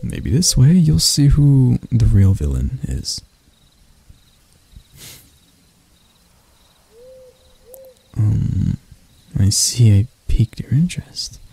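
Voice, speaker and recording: A man playing a proud, mocking elf royal in an ASMR roleplay, speaking in a soft, breathy, husky voice with dramatic pauses. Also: mid-range voice, wildly swooping pitch, heavily acted.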